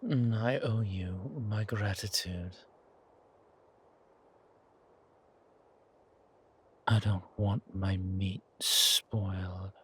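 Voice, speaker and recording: A man playing a suave, menacing, hungry vampire in an ASMR horror roleplay, with a softly taunting, drawn-out delivery. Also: sits low, steady tone, slightly rough, full character voice. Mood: hungry, predatory. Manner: slow, drawn-out, softly taunting, often whispering.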